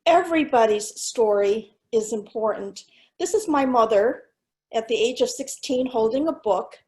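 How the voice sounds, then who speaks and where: A middle-aged woman whose first language was Cantonese, a school superintendent giving a keynote talk over slides in a measured, earnest way, pausing with fillers. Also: fairly high pitch, dark tone, poor audio. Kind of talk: presentation with slides.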